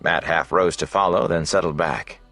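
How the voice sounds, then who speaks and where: Low-voiced male audiobook narrator, measured. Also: fairly bright tone. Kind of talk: scripted fantasy novel narration. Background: faint music.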